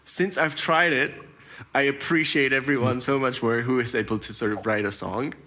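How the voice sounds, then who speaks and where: A man's voice: medium pitch, fairly even intonation, moderate pace, bright, forceful tone. A man hosting a casual interview in an encouraging, affirming way. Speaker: interview host. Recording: noticeable background noise.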